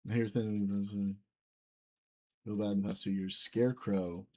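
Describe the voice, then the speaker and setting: A man's voice: lowish voice, flat delivery, unhurried, dark tone. A young man hosting a live stream, chatting loosely with viewers and swearing often. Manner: loose, profane banter with viewers. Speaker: livestream host reading chat. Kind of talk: casual live-stream chat.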